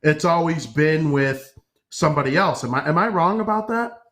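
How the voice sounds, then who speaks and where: A man's voice: a little high, moderate pace, fairly bright tone. A man co-hosting a sports podcast, giving casual, opinionated hockey analysis.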